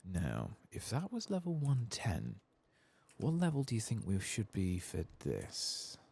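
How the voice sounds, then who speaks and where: A man giving soft-spoken, sometimes whispered ASMR commentary as he plays a video game, murmuring to himself and casually swearing, close to the microphone. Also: fairly low pitch, huge pitch swings, a little slow, vocal fry, breathy edge. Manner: murmured running self-talk, casually sweary. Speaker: streamer playing a video game.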